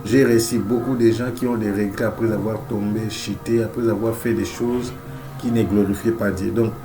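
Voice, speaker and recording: A male preacher with a cold, delivering an emphatic sermon that repeats key phrases, with frequent amens and alleluias. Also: lowish voice. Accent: Central African French. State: has a cold.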